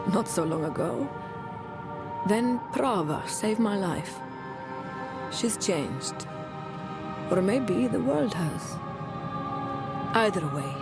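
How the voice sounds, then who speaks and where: Slow, solemn woman messenger under loud music. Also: mid-range voice. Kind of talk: dramatic video game acting. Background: loud cinematic music.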